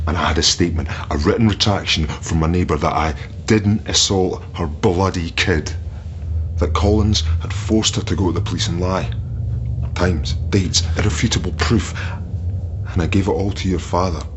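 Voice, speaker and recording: A bitter, unstable captor played by a man speaks in a very deep male voice. He broods and halts, then presses his grievance like a betrayed soldier. The audio drama sound is muffled, with faint noise behind him. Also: natural voice. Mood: bitter, accusing. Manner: brooding, halting, insistent. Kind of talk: naturalistic audio drama acting.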